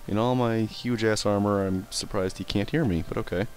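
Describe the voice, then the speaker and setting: Guy with a lowish voice doing a lets play, rambly, swears a bit, stumbles on big words. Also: fairly even intonation, normal speed, a little mellow, a little hiss or hum. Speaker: let's play gamer and commentator. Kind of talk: casual gameplay commentary and reading in-game text aloud.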